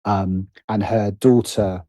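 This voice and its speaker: A man's voice: lowish voice, steady tone, slow, warm tone, no room sound, clean studio sound. A middle-aged British man speaking reflectively as an interview guest, often stopping to rephrase himself. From Britain. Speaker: guest, writer and producer.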